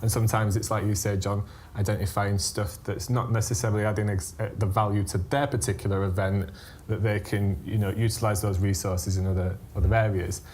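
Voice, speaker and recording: A British man with a lowish voice, moderating an industry panel in a loose, casual way. He often repeats a word before going on. There is faint room noise at the venue. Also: little pitch movement, fairly quick, darkish tone. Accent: British English. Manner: casual, hesitant, repeats words. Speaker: panel moderator and event organiser. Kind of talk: panel discussion. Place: event venue in central London.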